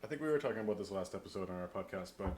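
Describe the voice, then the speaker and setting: A man's voice: low voice, lively intonation, rapid-fire, darkish tone. A man hosting a casual podcast discussion, thinking aloud as he builds up long questions. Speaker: podcast host. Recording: clear background noise.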